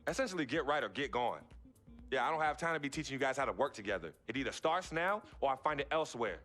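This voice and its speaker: A man's voice: mid-range voice, expressive, fast, a bit bright, soft background music, close to an everyday voice. An American man acting a driven young basketball star in a video game cutscene, speaking in a firm, street-casual way. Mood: stern, commanding. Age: young adult.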